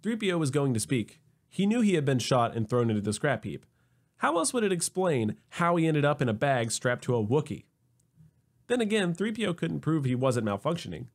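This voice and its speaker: Animated male storyteller with wry delivery. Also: on the high side, a little fast. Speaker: narrator. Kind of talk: scripted comedic story narration.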